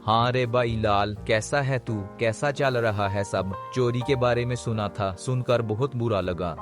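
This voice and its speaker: A man's voice: mid-range voice, measured pace, close to an everyday voice. A man voicing a friendly, sympathetic village neighbour in a Hindi cartoon story. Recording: background music under the voice.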